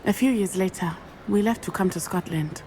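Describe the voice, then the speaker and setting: A woman voicing an earnest young female student who calmly tells how her father died, in a video game cutscene. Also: on the low side, normal speed, slight background noise, close to an everyday voice. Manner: earnest, composed storytelling.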